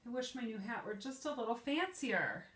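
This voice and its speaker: A woman voicing an excitable, wishful young girl from a storybook, exclaiming earnestly. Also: fairly high pitch, normal speed, pitched above the performer's own voice. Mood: wistful. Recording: echoey room.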